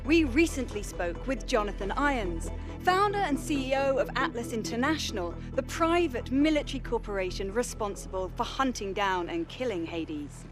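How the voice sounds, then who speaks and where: An actress playing a polished television news reporter reading a scripted report in a game. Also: female voice, a little high, expressive, moderate pace, over music, close to an everyday voice. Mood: formal. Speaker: news presenter.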